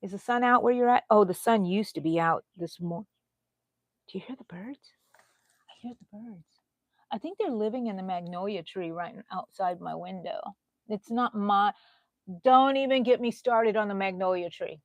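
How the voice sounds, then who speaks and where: A middle-aged woman from Texas, hosting a crochet live stream in a casual, chatty way, often jumping between loud talk and soft whispers. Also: medium pitch, normal speed, no room sound. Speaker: crochet channel host.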